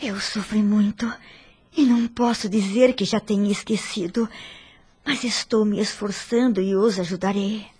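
An actress playing a dignified, forgiving middle-aged wife in a radio drama, with a Brazilian accent and an earnest, formal delivery. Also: female voice, medium pitch, fairly even intonation, moderate pace, darkish tone, slightly rough. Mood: earnest, resolute.

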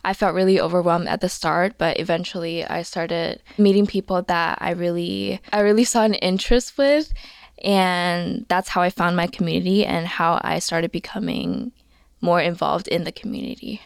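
Earnest young woman with a gravelly edge. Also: lowish voice, steady tone, normal speed, no echo. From Singapore. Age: 20s. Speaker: student guest.

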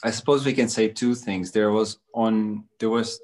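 A man from Bosnia, a spiritual coach, answering interview questions in a hesitant, musing way with many pauses. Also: lowish voice, steady tone, darkish tone.

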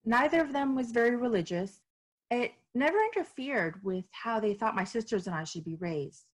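A woman's voice: fairly high pitch, animated, moderate pace. A woman from Miami, an author and activist, answering interview questions in a reflective way. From Miami, United States. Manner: reflective, with some hesitations and restarts.

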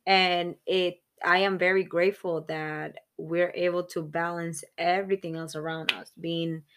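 A woman's voice: on the low side, level tone, a little slow, fairly bright tone. A woman in her thirties co-hosting a casual podcast chat, earnest and reflective, talking in long run-on thoughts. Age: 30s. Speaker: podcast co-host.

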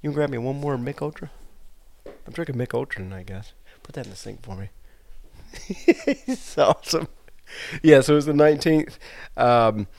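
A man's voice: mid-range voice, lively intonation, moderate pace, vocal fry, long pauses, a little mellow, no room sound. A man hosting a podcast in loose, rambling casual chat, sounding a bit congested from allergies. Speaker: podcast host.

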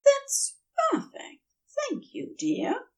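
A woman voicing a doting, fussy male angel who is an affectionate husband, with a high, breathy, tender voice. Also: sing-song, extremely slow, a little mellow, distant mic, heavily acted.

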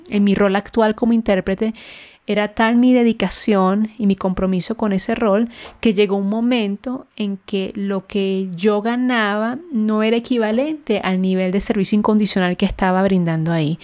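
A woman's voice: mid-range voice, a little mellow. A woman hosting a podcast, telling a personal story in a conversational, reflective way, sometimes searching for words. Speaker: podcast host.

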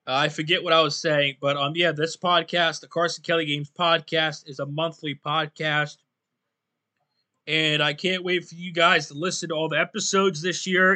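A man hosting his own podcast in a casual, rambling solo talk, his voice going hoarse and often clearing his throat. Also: on the high side, pushed and bright. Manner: rambling, loose and self-deprecating, many fillers. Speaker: podcast host.

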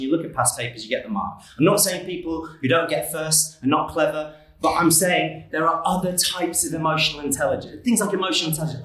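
A man's voice: on the high side, a bit bright. A young man telling funny, self-deprecating stories about his life to an audience. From England, Manchester area. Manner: self-deprecating, joking, quick asides. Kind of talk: comedic personal storytelling. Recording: echoey hall from far off.

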